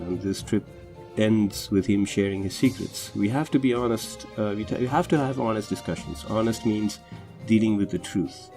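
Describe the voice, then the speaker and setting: A man whose first language is Tamil talks through a film analysis in a loose, unscripted way, full of ums, uhs and restarts. His voice sits on the low side with a dark, mellow tone. Faint music plays quietly beneath his voice. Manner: hesitant, many fillers and restarts. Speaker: film analysis podcast host. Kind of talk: casual unscripted movie commentary. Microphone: home recording over drawings.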